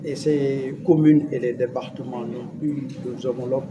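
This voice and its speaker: A man's voice: mid-range voice, fairly even intonation, moderate pace, highs cut off. A man with a Senegalese accent giving an unscripted, formal and didactic statement to the press as an official. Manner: formal and didactic, posing and answering his own questions. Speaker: government official. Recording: loud background noise, event ambience.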